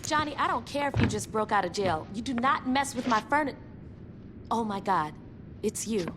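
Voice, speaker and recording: An actress playing a sharp-tongued woman in a video game cutscene, speaking in a sassy, scolding way. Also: on the high side, lively intonation, moderate pace, background noise, natural voice. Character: sharp-tongued woman, former gang member. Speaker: game character.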